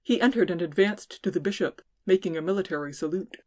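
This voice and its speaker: A woman's voice: lowish voice, fast talker, warm tone. A woman giving scripted narration of classic fiction as an audiobook narrator.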